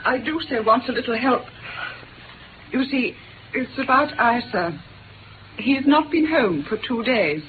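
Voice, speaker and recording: A genteel, worried Victorian wife speaks politely and hesitantly in a fairly high voice. The old radio drama is narrow in sound and noisy. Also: female voice, expressive, a little slow, long pauses. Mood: anxious. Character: anxious, genteel Victorian wife seeking help.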